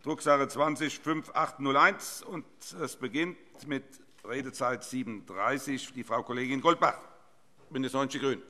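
Bright-voiced older man chairing in a hall. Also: mid-range voice, moderate pace. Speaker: presiding chair of a parliament session. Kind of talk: formal procedural announcement, reading agenda items. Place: large indoor parliament chamber. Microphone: desk microphone. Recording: reverberant large hall.

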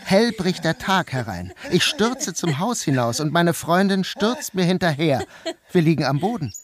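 A man's voice: fairly high pitch, sing-song, normal speed, a crowd in the background. A man narrating an audio drama in the first person, as its protagonist. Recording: noticeable background noise.

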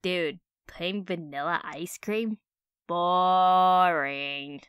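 A woman's voice: on the low side, extremely slow, smooth, highs cut off, soft background music. A woman voicing a whiny, dim, emotional teenage boy who rambles over-dramatically in an amateur cartoon dub. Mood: teasing, excited.

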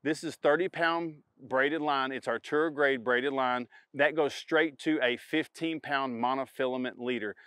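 A middle-aged man with a smooth, resonant voice at an ordinary pitch, speaking with confident emphasis and doubling up his key words. The sound is dry and close despite the outdoor setting, like a lapel mic. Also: a little slow, few pauses, a bit bright, no echo. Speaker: pro bass angler. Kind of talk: instructional product demo to camera. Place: outdoors in a spring woodland.

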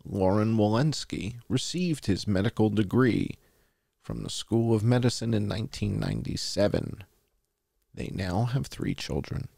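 A man's voice: fairly low pitch, lively intonation, a little slow, vocal fry, darkish tone, dry recording, studio quality. A man hosting a show, reading a published article aloud as narration.

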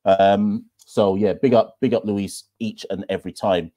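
A man's voice: lowish voice, fairly even intonation, measured pace, mellow. A man hosting a live call-in podcast from a small room, talking enthusiastically into a webcam. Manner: enthusiastic, emphatic. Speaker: podcast host. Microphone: webcam at desk.

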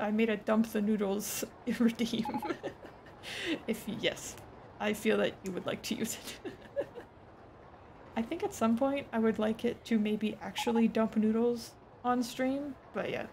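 A woman livestreaming a game, chatting casually, laughing often and swearing freely, her voice a little hoarse. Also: fairly high pitch, takes it slowly, a little mellow. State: slightly hoarse. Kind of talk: casual gameplay chat. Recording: noisy home stream recording.

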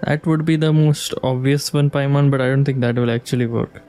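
Very low, mellow woman gamer commenting. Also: steady tone, brisk. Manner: teasing, giggly, reacting aloud to the game. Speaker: game streamer. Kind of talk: casual playthrough commentary. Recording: faint game music behind the voice.

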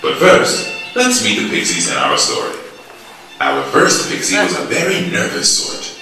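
A man's voice: medium pitch, huge pitch swings, moderate pace, gravelly, pushed and bright, soft background music. A man giving theatrical storybook narration as the narrator of a stage play. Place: small theatre stage, music behind. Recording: echoey stage recording from far off.

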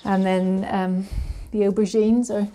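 A woman's voice: mid-range voice, flat delivery, a little slow, mellow, slight background noise. A woman in her thirties giving a casual walk-through tour of her home garden and greenhouse, chatty and hesitant with many fillers, recorded outdoors on a camera mic while she walks.

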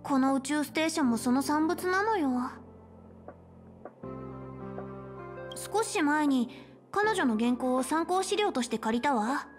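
A high-pitched young female space station chief for a video game. She is polite, self-assured and caring, explaining things in measured, articulate phrases. Faint music plays behind her. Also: expressive. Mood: calm, friendly. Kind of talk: Japanese game voice acting, explanatory dialogue. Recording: game dialogue over background music.

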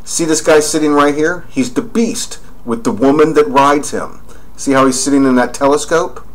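A man's voice: fairly high pitch, normal speed. A man narrating over screen images, rambling and pointing out details as he goes. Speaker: video narrator.

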